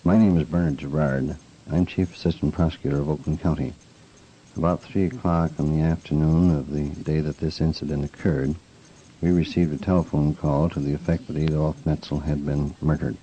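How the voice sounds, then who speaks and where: Very deep, dark-voiced formal man. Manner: formal, matter-of-fact. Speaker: chief assistant prosecutor. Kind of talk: formal first-person testimony for radio. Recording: muffled old radio recording, faint noise.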